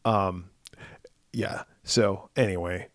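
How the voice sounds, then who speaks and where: A man in his forties, hoarse and raspy after being ill, co-hosting a podcast in casual chat, rambling with fillers and restarts. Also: deep voice, fairly even intonation, slow, no room sound, clean studio sound. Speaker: podcast co-host.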